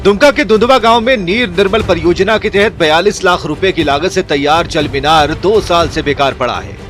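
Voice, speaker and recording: A man's voice: high-pitched, normal speed, over music. A man reading scripted television news reports as a voice-over presenter.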